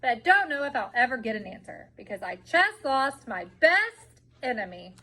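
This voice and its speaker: A woman reading a children's picture book aloud in an expressive storytelling way, drawing out words for emphasis. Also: high voice, moderate pace. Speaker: storybook reader.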